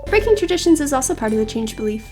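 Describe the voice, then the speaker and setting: A woman voicing a gentle, cheerful young housemaiden in a video game, explaining things kindly and earnestly. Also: high-pitched, big pitch swings, very fast, over music. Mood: bright, encouraging.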